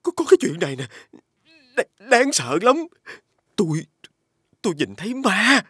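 A man voicing a timid but scheming poor husband in an animated fairy tale, stammering when flustered. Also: high voice, unhurried, slightly rough, no room sound, studio quality, full character voice. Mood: frightened.

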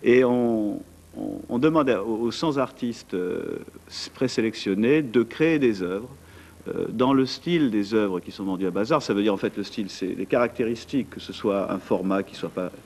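A man's voice: fairly low pitch, normal speed, gravelly, a little mellow. A man in his forties, a guest at a studio desk mic, talking chattily with many fillers and restarts about what he organizes. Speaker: art event organizer. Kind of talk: TV studio interview.

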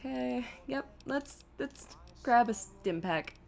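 A woman's voice: on the high side, animated, slow pace, vocal fry, a little mellow. A woman streaming a video game, thinking aloud in casual commentary with self-deprecating, exasperated asides.

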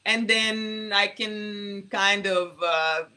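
Lively bright-voiced woman on a video call. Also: medium pitch, extremely slow. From Congo. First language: French. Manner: lively, laughs often, tells long stories. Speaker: interview guest, singer. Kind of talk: casual video call interview. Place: home room indoors.

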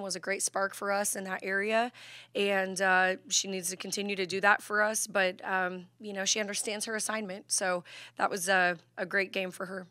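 A woman basketball coach in her thirties answers press questions at a table microphone. Her voice is bright and fairly flat in pitch, with frequent fillers and restarts. The sound is dry and close. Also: no echo. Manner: steady, even delivery with many fillers and restarts. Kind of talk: postgame press conference answers. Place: press conference room at a table.

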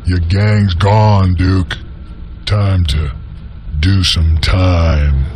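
Very deep, slow western bounty hunter. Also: male voice, steady tone, noisy background. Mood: cold menace. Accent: American Western drawl. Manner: slow, terse, menacing. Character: gruff, laconic male bounty hunter gunslinger of the Old West. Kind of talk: western video game acting. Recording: music behind the voice.

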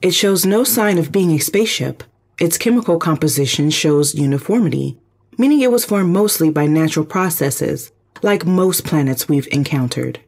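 Sci-fi radio play: ship computer played by an actor, formal, measured, literal, husky edge. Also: medium pitch, lively intonation, darkish tone. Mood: analytical, calm. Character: calm, precise spaceship computer intelligence. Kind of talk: audio drama, analytical reporting.

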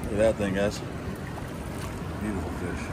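A man's voice: on the low side, normal speed, heavy background noise. A man hosting a casual fishing vlog outdoors by a river, calling out to his viewers. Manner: excited, addresses viewers as guys. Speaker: fishing channel host.

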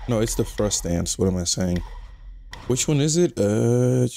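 Raspy laid-back young man gaming. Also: medium pitch, animated, unhurried, mellow. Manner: laid-back, slangy, thinking aloud. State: just woke up. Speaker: gaming channel host. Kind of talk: casual gameplay commentary.

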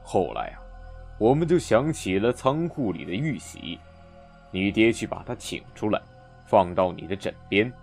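A man's voice: fairly low pitch, sing-song, moderate pace, creaky, a little mellow, soft background music. A man playing an older relative in an ancient-era audio drama, who chides the heir and recalls his childhood. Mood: reminiscing. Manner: chiding, reminiscing. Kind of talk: fan audio drama acting.

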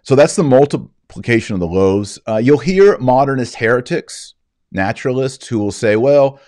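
A man in his forties reading and explaining scripture as a podcast host, in a deliberate, didactic way with emphasis on key words. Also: medium pitch, lively intonation, mellow, dry recording, studio quality. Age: 40s. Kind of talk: scripture reading and religious commentary.

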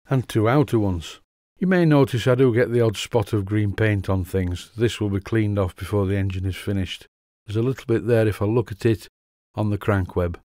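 A man's voice: lowish voice, darkish tone, dry recording. A middle-aged man narrating a hands-on workshop lesson in a chatty, methodical way with dry humour. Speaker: workshop presenter. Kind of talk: instructional voice-over narration.

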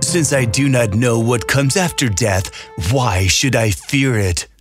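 A man voicing an old, zombie-like philosopher in a slow, drawn-out, solemn way for a cartoon. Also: mid-range voice, over music, close to an everyday voice.

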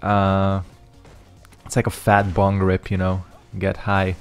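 A man's voice: low voice, completely flat delivery, slow pace, clean tone, faint music behind. A young man whose first language is Hungarian, casually rambling over his own fighting game matches with loose slang and lots of fillers. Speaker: gaming channel host. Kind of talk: casual commentary over gameplay.

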